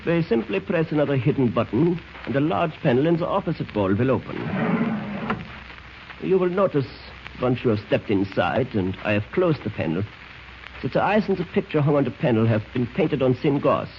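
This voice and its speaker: Suave, menacing spymaster, swooping delivery. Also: male voice, medium pitch, big pitch swings. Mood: smooth, commanding. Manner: courtly, deliberate, formal phrasing. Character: suave, menacing male foreign spy ring leader. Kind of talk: 1940s radio drama acting. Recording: dull, noisy old radio recording.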